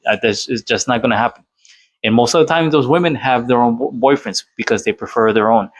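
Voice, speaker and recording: A man's voice: mid-range voice, a little fast. A man from Colombia giving casual, opinionated commentary as a channel host, rambling with many fillers and restarts. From Colombia, Cali. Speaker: channel host reacting to a clip.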